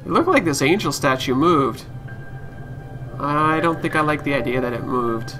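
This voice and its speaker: A man streams a game with casual running commentary. His voice is a little high, and he muses aloud as he goes. Music plays clearly behind him. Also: expressive, moderate pace. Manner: musing aloud to himself and viewers. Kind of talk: casual live let's play commentary.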